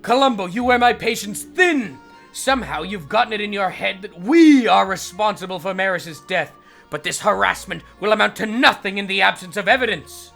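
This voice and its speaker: A man's voice: high voice, animated, normal speed, creaky, pushed and bright, soft background music, heavily acted. A man voicing a pompous, vain middle-aged radio psychiatrist in a comic fandub, with haughty, theatrical, ornate diction. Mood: indignant.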